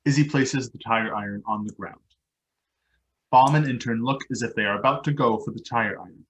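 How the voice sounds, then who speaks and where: A man's voice: mid-range voice, fairly quick, slight background noise, low-quality recording. A man narrating stage directions as scripted reading for a play.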